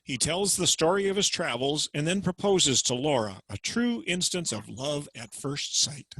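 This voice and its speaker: A man's voice: a little high, sing-song, normal speed, a bit bright, husky, no room sound, poor audio. A man narrating plot summaries between scenes in a plain, matter-of-fact way, recorded at home over a video call. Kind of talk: plot summary for a dramatic reading.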